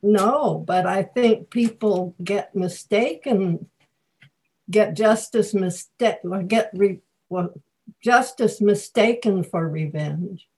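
A woman's voice: medium pitch, slow, a little mellow, low-quality recording. A woman taking part in a group discussion, speaking casually and reflectively as she asks and answers questions. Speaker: group participant asking questions.

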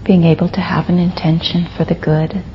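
A muffled, lo-fi recording with faint noise carries a woman guiding a meditation. Her low voice is soft, turning breathy as phrases end. She speaks gently, leaving generous pauses between short phrases. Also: flat delivery. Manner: gentle, unhurried, with long pauses. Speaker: meditation teacher. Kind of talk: guided meditation.

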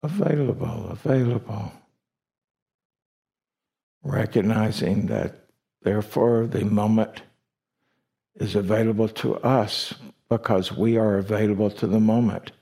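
A man leading a guided meditation as a teacher, speaking deliberately in short phrases with long pauses between them. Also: on the low side, vocal fry, warm tone. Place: meditation retreat hall.

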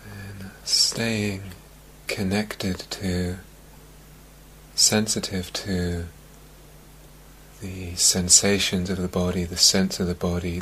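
Slow, low-voiced man guiding meditation. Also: a little hiss or hum, rough recording. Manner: calm, gentle, unhurried with long phrasing gaps. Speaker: meditation teacher. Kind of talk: guided meditation. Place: meditation retreat talk, recorded live.

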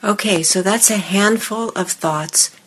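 A woman meditation teacher speaking to a group in measured, deliberate short phrases with pauses, her voice husky and breathy. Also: medium pitch, fairly bright tone, slight background noise, rough recording. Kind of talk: guided meditation and dharma talk to a group in the room.

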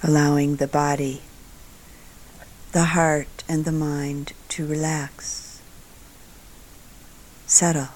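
A woman meditation teacher leading a guided meditation in a soft voice that sometimes trails into a breathy near-whisper, calm and deliberate, with long pauses between short phrases. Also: low voice, rough recording.

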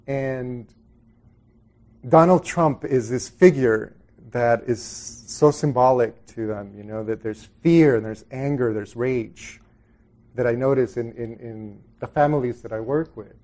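A man's voice: mid-range voice, measured pace, dark tone. A middle-aged man from El Salvador giving an informal dharma talk to a group, conversational and reflective, often trailing off into asides. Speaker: Buddhist meditation teacher.

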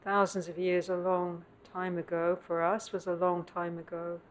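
A woman meditation teacher leading a guided meditation in contemplative, measured phrases with long pauses, recorded live at a meditation center. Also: lowish voice, flat delivery. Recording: clear background noise, live room ambience.

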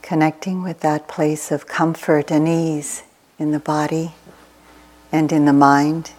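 Woman meditation teacher, really slow and flat, soothing measured phrases w long pauses. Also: deep voice.

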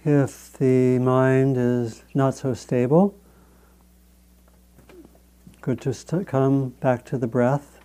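A man guiding a meditation as a teacher, speaking in a measured, gentle way with long pauses between phrases. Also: medium pitch, steady tone, clear, resonant tone. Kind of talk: guided meditation instruction.

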